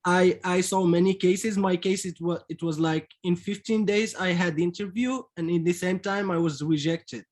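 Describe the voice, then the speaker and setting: A man's voice: sits high, steady tone, normal speed, hardly any pauses, a little mellow. A man on a panel telling his own story plainly and directly, repeating words as he goes. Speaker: panel speaker. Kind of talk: personal account.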